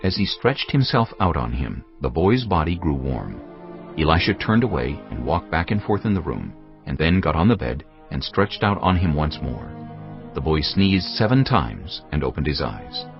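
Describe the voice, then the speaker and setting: A man's voice: extremely low pitch, big pitch swings, normal speed. A man narrating scripture as a solemn, scripted dramatic story. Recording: muffled audio with music behind.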